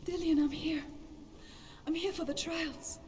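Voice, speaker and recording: A woman's voice: very high-pitched, fairly even intonation, a little slow, reverberant. An actress playing a desperate young warrior seeking her lost love, with an intense, pleading delivery. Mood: desperate calling. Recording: distant, with loud ambient noise.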